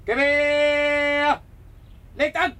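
An actor playing a military commander in a radio play, barking clipped, exaggerated orders. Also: male voice, very high-pitched, monotone, extremely slow, distant mic, background noise, full character voice. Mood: barking, aggressive.

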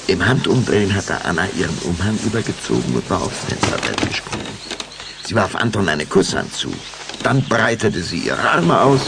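A man narrating a German children's vampire audio drama as a storyteller, with measured, dramatic delivery. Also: low-pitched, creaky, a bit bright, a little hiss or hum, full character voice.

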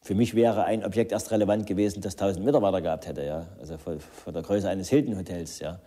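A man's voice: sits low, fairly even intonation, fast talker, mellow. A man giving a formal, verbose, self-important interview statement as an entrepreneur.

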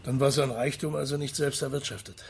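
A sober male police investigator in a radio drama, played by a man, with a mid-pitched voice. He is polite but persistent, putting formal questions in a matter-of-fact way. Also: fairly even intonation, a little fast, gravelly, slight background noise, lightly acted. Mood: probing. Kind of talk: radio play acting.

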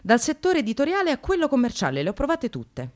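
A woman's voice: sits high, wildly swooping pitch, fast talker, darkish tone. A woman playing a disillusioned receptionist and mother in a radio drama monologue, sardonic, emphatic and confessional. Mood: weary, distressed. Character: precarious receptionist, disillusioned separated mother, bitterly ironic. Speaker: actress narrating a monologue.

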